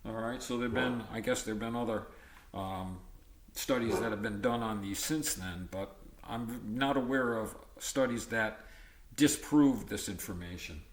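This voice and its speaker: A man reading an educational text aloud in a halting, list-like way, breaking off for informal asides. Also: fairly low pitch, echoey room, a little hiss or hum. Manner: halting list-like delivery with ad-libbed comments. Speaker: reader of an educational book.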